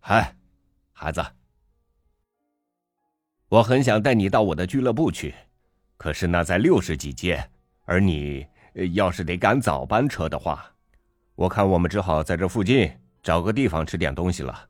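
A man reading a story aloud, voicing a brash, loud middle-aged father who bullies waiters with sarcasm. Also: low-pitched, animated, normal speed, long pauses, dry recording, clean studio sound, soft background music. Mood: hearty.

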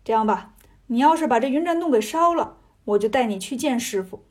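Woman doing a cocky, husky monkey king. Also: a little high, expressive, a little fast, a little mellow. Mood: bantering. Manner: brash, mocking, casual. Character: cocky, teasing male monkey king hero.

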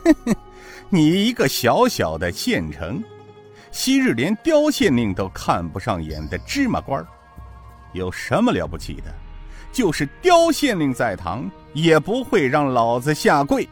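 A man's voice: a little high, wildly swooping pitch, measured pace, faint music behind. A man voicing an arrogant, foul-mouthed male estate manager who sneers, curses and howls in pain. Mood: sneering.

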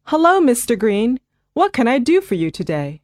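A woman voicing a calm, reassuring family doctor in a scripted listening dialogue. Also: fairly high pitch, no room sound, studio quality. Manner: clear, measured, reassuring delivery.

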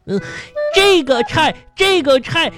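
A woman playing a dim, bumbling, food-loving small child in a radio comedy, with a halting childlike delivery. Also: high-pitched, animated, over music. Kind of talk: radio sketch comedy acting.

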